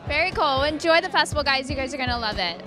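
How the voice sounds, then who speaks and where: A woman's voice: sits high, expressive, fast. A woman hosting casual red carpet interviews at a festival, asking short questions. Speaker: host, interviewer. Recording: music and busy crowd behind. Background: music, crowd.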